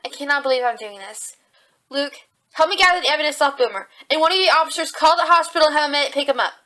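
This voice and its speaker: A woman acts a young female rabbit officer in a fan audio drama. She is earnest and quick to exasperate, with a fairly high, bright voice. The recording rings with heavy echo. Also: expressive, far from the mic. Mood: exasperated. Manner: perky, to the point. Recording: very echoey room, faint music.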